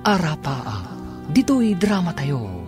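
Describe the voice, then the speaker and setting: Ilocano guy narrating radio drama, very lively intonation, scripted dramatic read, music bed behind. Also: on the high side, takes it slowly.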